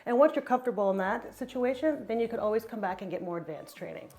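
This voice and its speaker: A woman's voice: fairly high pitch, animated, fast, dark tone. A woman, a nurse and teacher giving confident advice in an interview. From Canada. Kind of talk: testimonial interview answers.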